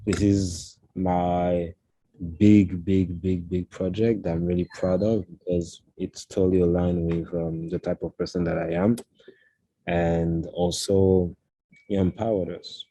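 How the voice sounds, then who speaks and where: A man, a fashion designer speaking as a guest in a casual interview, pausing often and searching for words. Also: sits low, little pitch movement, warm tone, poor audio. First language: French. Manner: halting, searching for words, many "uh"s.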